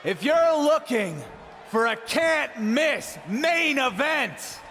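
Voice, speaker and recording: An actor playing a cocky champion wrestler cutting an emphatic, halting promo on the microphone in a video game. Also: male voice, very high-pitched, big pitch swings, full character voice. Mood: defiant. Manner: emphatic, halting phrases for effect. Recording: echoey arena PA with crowd noise.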